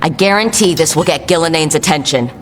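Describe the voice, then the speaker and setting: An actress playing a resolute, commanding leader of a fantasy team in a video game. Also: female voice, deep voice, lively intonation, a little fast, slight background noise. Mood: determined. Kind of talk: game cutscene acting. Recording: loud game music underneath.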